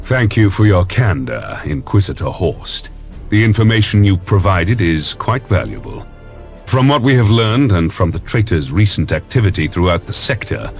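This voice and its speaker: Low-voiced, stern lord admiral. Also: male voice. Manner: authoritative, measured, formal. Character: commanding male lord admiral, stern, resolute and formal. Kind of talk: military briefing in a game cutscene. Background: faint music.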